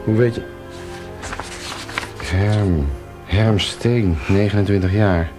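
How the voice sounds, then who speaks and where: An actor in a Dutch radio drama playing a gruff, laconic police detective who grumbles at his boss. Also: fairly low pitch, slow pace, music in the background. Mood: grumbling.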